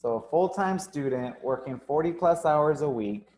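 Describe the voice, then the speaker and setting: A man's voice: fairly high pitch, lively intonation, moderate pace, a little mellow. A young man who owns a gym, hosting a casual live interview with frequent ums and uhs. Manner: casual, filler-heavy, upbeat. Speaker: gym owner and host.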